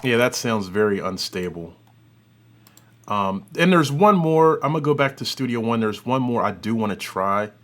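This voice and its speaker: A man hosting an audio software tutorial, talking informally and thinking aloud as he works through a demo. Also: medium pitch, animated, normal speed, faint music behind. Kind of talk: casual demo commentary.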